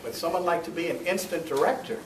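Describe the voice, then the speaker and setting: A man speaking as club president, giving an informal welcome and announcements in a halting, rambling, self-correcting way. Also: fairly high pitch. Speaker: club president hosting a meeting. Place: meeting room, recorded from a distance. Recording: roomy, distant mic, faint noise.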